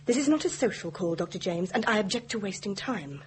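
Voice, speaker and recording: An actress playing a brisk, imperious professor's wife in a radio drama, with an English accent and a curt, demanding manner. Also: female voice, medium pitch, lively intonation, a little hiss or hum, lightly acted. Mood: impatient. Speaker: actor in audio drama.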